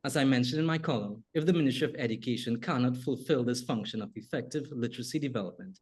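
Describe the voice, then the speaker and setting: Trinidadian male host, husky, mid pitch, measured scripted delivery. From Trinidad and Tobago. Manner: measured, reads a prepared essay. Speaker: podcast host. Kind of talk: scripted opinion monologue, then interview.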